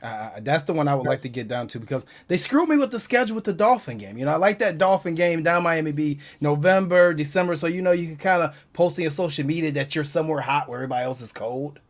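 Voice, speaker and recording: A man from Buffalo, New York talks quickly in a fairly high voice. He is a guest on a sports podcast, chatting in a casual, conversational way. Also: expressive.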